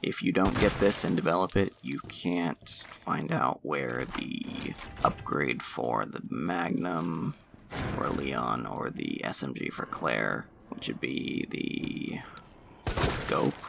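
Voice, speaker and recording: A man with an exceptionally deep voice that crackles heavily with fry talks through a video game. His commentary is casual and explanatory, coming in halting phrases. Clear noise and clicks sit in the recording. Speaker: gameplay commentator.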